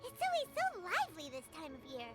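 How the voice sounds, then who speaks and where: A little floating fairy companion for a video game, childlike and cheeky. The voice is piercingly high and swings all over in pitch. She is chirpy, with prominent music underneath. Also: wildly swooping pitch, fast, full character voice. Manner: speaks of self in third person.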